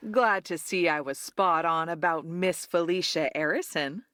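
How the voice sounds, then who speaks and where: A woman's voice: lowish voice, measured pace, fairly bright tone, dry recording, clean studio sound. A woman playing a playful, teasing young bar worker in an amateur game fandub. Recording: music behind the voice.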